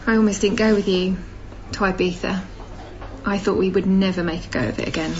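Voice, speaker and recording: A woman's voice: medium pitch, steady tone, a little fast, a little mellow, dull audio, close to an everyday voice. Wry young woman played by an actress, noisy recording. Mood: wry, wistful. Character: frustrated, wry young pregnant girlfriend. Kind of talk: naturalistic radio drama acting.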